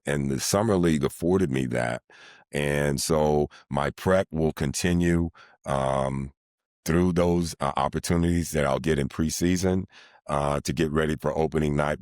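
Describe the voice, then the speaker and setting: A middle-aged man, a sports announcer, speaking in a deliberate, formal and wordy way in an interview. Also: rumbling low, a little mellow, no echo, clean studio sound. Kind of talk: measured, formal interview talk.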